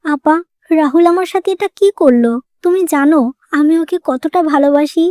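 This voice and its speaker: Woman acting a high-voiced, emotional young cartoon wife. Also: moderate pace, warm tone, slight background noise, lightly acted. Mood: heartbroken. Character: emotional young modern wife, pleading and heartbroken. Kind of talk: Bengali moral-story cartoon dubbing.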